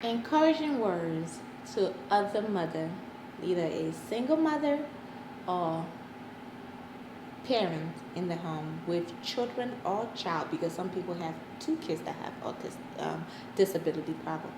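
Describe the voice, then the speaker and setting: A woman's voice: on the low side, expressive, measured pace. Liberian-accented woman in an echoey room. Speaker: interview guest, parent advocate. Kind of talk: personal interview talk. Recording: roomy echo, faint background noise.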